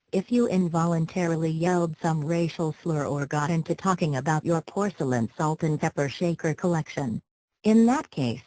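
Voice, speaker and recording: Low-pitched woman narrating scripted tips. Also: a little mellow, no echo, rough recording. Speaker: narrator of a career advice video. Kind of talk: scripted tips narration, light conversational wording.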